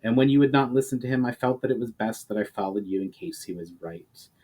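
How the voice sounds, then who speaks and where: A man voicing a shrewd male elf in a storybook reading, measured, formal and self-assured. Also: mid-range voice, dark tone, natural voice. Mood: calm, explaining.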